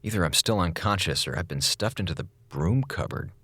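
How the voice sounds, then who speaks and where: Sarcastic, defiant comms officer. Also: male voice, sits low, lively intonation, pushed and bright, breathy edge, dry recording, full character voice. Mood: weary. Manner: sarcastic, theatrical, quick with jokes. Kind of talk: audio drama acting.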